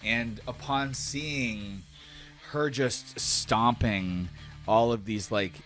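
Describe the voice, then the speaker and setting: A man narrating a tabletop fantasy game as game master in casual, slangy, animated storytelling. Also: mid-range voice, drawn-out words, soft background music.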